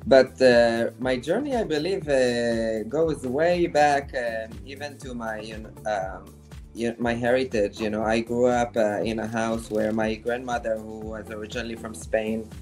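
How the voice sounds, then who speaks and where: Brief: male interview guest, middle-pitched, storytelling, halting and thoughtful. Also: a little mellow, faint music behind. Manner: halting, many fillers and restarts, reflective. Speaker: guest, fashion designer.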